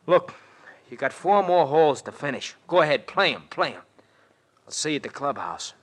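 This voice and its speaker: A man's voice: on the high side, moderate pace. An actor playing a brash, wisecracking male sidekick who grumbles in loose slang, in 1940s radio drama style. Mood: fed up. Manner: slangy, ungrammatical, grumbling, animated. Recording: vintage golden-age radio recording.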